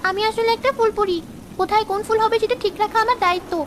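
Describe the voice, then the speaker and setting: Very high-pitched tiny flower fairy. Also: female voice, steady tone, rapid-fire, a little hiss or hum. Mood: pleading. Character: tiny, gentle female flower fairy, kind and helpful. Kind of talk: children's fairy-tale cartoon dubbing. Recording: music under the voice.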